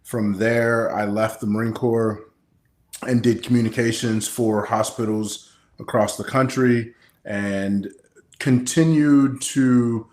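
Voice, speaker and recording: An American man speaks as an interview guest in a noticeably roomy, echoing recording. His pitch is average for a man. He explains things conversationally and plainly, as a straightforward coach. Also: fairly even intonation, takes it slowly, a little mellow. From Kansas City, Missouri, United States. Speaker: guest, personal development coach.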